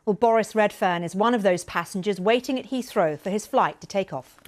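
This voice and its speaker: A woman's voice: mid-range voice, a little fast. A woman presenting the news in a scripted broadcast style.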